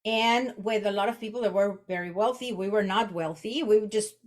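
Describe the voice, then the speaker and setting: A woman's voice: medium pitch, normal speed. A woman in her forties from Mexico, a native Spanish speaker with a Mexican accent, reflecting conversationally as an interview guest and often restarting her phrases. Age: 40s. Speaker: podcast guest, executive coach.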